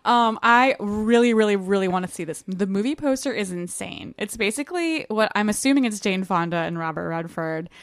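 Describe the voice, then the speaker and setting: A woman's voice: medium pitch, lively intonation, fairly quick, hardly any pauses, no room sound, clean studio sound. A woman co-hosting a podcast, recapping a book in an informal, playful chat. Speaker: podcast co-host.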